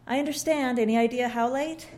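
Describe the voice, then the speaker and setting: A woman's voice: a little high, a little fast, darkish tone, close to an everyday voice. A woman reading the lines of a frazzled, stressed young mother.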